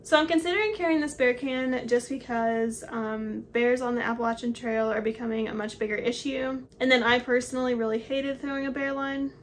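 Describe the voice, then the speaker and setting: A woman's voice: a little high, fairly even intonation, fairly quick, hardly any pauses, slight background noise. A woman in her twenties casually walking through her hiking gear to the camera in a small home office, explaining each item as she holds it up. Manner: chatty, explains while holding up each item. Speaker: hiking vlogger.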